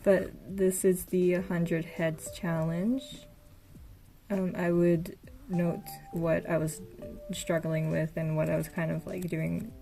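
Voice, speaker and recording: Young woman flipping thru sketchbooks, kinda hesitant, lowish fried voice, game music playing faint behind. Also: little pitch movement, slow pace, gravelly. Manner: soft-spoken, hesitant, lots of fillers. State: voice getting tired. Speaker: art vlogger. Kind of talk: casual sketchbook tour narration. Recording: voice over background game music.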